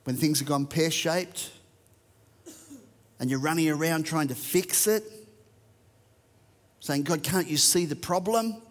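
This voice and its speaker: A man's voice: a little high, measured pace, pauses often, long reverb tail. An Australian man preaching a sermon, reading verses and talking conversationally to a church. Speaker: pastor.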